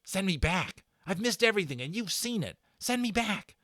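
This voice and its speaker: A man voicing an excitable, pleading schoolboy who babbles urgently in a storybook reading. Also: sits high, normal speed, dry recording, studio quality, pitched above the performer's own voice, exaggerated voice. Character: frantic young British schoolboy, excitable and pleading.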